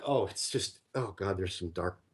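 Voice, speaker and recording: A man's voice: deep voice, normal speed, breathy edge. A man telling a long personal story in casual conversation, rambling and full of fillers and restarts.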